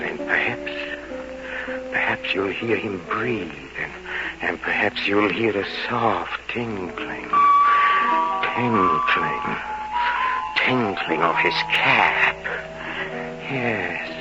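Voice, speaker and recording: Vengeful killer, slow low-voiced gloating confession, theatrical and halting, vintage radio drama. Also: male voice. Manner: halting, repeating words, theatrical. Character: obsessive, vengeful murderer confessing, gloating and tormented. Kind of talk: 1940s radio drama monologue. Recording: muffled vintage radio recording. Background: dramatic music.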